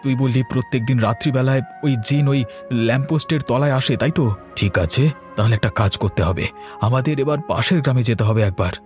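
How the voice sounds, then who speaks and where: A man's voice: medium pitch, brisk, faint music behind, lightly acted. Man playing a bold, earnest village man. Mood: urgent, planning. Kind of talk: cartoon story voice acting.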